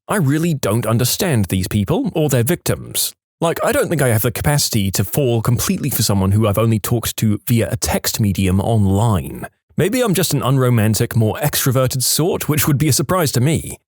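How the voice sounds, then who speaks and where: A man's voice: medium pitch, very animated, a little fast, a little mellow, no room sound, studio quality. A man narrating read-aloud online stories in a scripted storytime style, as the host of a channel.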